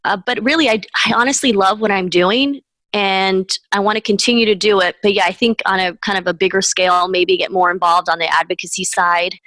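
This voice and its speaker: A woman's voice: mid-range voice, fairly even intonation, brisk, fairly bright tone, no echo, low-quality recording. A woman, a mortgage professional whose first language was Spanish, chats as an interview guest in an upbeat way, laughing between points. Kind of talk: conversational interview.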